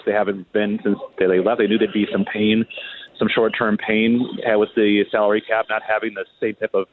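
A man speaking as a reporter guest on a sports show, talking through hockey analysis in a hesitant, word-repeating conversational way. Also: on the low side. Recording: thin phone line, roomy echo.

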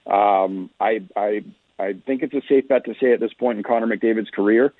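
A man's voice: fairly low pitch, steady tone, moderate pace, no room sound. A man giving off-the-cuff hockey analysis as a radio guest, talking conversationally and often rephrasing as he goes. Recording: muffled, phone-like radio call-in line.

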